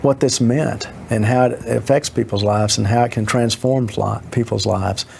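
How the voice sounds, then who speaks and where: A man speaking in a halting, measured way as a local interviewee. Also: mid-range voice, fairly even intonation, gravelly, a little mellow. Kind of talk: documentary interview. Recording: faint ambient noise.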